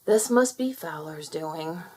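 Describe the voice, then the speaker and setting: A woman voicing a calm, clever young rooster prince who speaks in a patient, matter-of-fact way. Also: low voice, slow, breathy edge, exaggerated voice.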